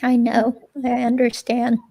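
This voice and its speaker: Woman reading as a chatty but unsure ex-girlfriend in a police interview, slow and flat, halting casual delivery. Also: on the high side, gravelly, low-quality recording. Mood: friendly, uneasy. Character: hesitant, chatty ex-girlfriend being questioned by police. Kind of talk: dramatic reading of an interview transcript.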